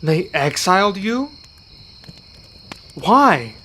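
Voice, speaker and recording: A man acting a young, gentle, protective werewolf in an ASMR roleplay, speaking softly and earnestly with halting pauses. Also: sits high, big pitch swings, a little hiss or hum, full character voice. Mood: shocked, indignant.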